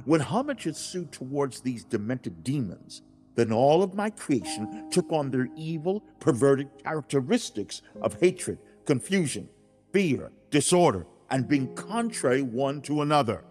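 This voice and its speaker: A man playing God in a dramatized audiobook, speaking directly to humankind in a grave, fatherly, deliberate way with emphatic enunciation. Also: mid-range voice, sing-song, darkish tone, soft background music. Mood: grave, lamenting.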